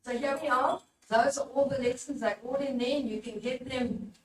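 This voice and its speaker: A woman's voice: sits high, fairly even intonation, normal speed. A woman presenting a workshop talk to a live audience, urging listeners on and putting questions to them. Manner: exhortatory, puts questions to the listeners. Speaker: workshop presenter, religious formator. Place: echoey room at a live workshop. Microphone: picked up from a distance. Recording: echoey room, distant mic, background noise.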